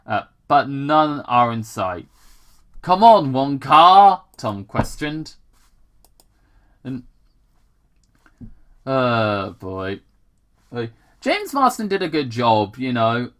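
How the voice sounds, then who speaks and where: A man reads fan fiction aloud at a slow, halting pace. His pitch swings widely as he trips over words, repeats himself and drops in casual, sweary commentary. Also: big pitch swings, a bit bright. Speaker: gamer host reading fan fiction aloud.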